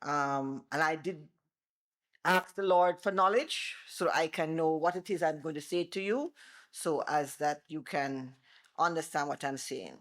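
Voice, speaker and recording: A middle-aged woman with a Jamaican accent gives a seminar talk in a loud, animated way, working through examples for the room. Her voice sits on the lower side, and she moves around the microphone as she lectures. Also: unhurried. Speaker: attorney and former bar president giving a seminar. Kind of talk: seminar presentation. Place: seminar room before an audience. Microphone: microphone she moves away from.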